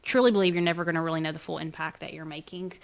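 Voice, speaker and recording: Lower-pitched woman hosting a casual interview. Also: fairly even intonation, racing, vocal fry. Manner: effusive, informal, peppered with slang. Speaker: podcast host, interviewer.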